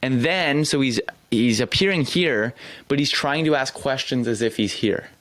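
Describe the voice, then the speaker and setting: A man's voice: mid-range voice, moderate pace, no room sound. Man, sales coach, direct critique, dry close recording. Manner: direct, critiquing, animated praise. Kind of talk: commentary over a video.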